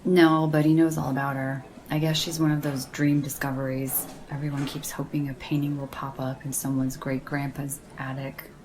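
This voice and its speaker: An actress playing a sardonic, deadpan young woman in casual audio drama banter, with a gravelly creak and a dry, teasing delivery. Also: extremely low pitch, moderate pace, few pauses, noisy background. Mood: dry, matter-of-fact. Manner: dry, teasing, slangy, unimpressed. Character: deadpan, sardonic young woman who loves horror paperbacks.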